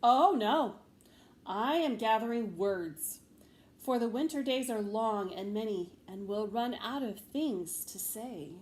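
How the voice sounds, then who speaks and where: Woman as a slow, dreamy little field mouse poet. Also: a little high, darkish tone, roomy sound. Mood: calm, dreamy. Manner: slow, deliberate, simple delivery.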